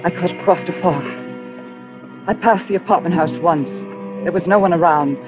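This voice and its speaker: A woman's voice: fairly low pitch, normal speed, close to an everyday voice. An actress playing a haunted, guilt-ridden murderess, narrating her crime in tense, dramatic 1940s radio style. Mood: trembling, obsessive. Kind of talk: 1940s radio suspense acting. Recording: dull old radio recording with music.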